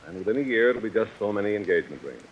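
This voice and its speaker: A man acting as a gruff, wealthy old boss in a 1940s radio drama, brusque and offhand. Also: fairly low pitch, lively intonation, very fast, natural voice. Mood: offhand, proud. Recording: dull, hissy vintage radio recording.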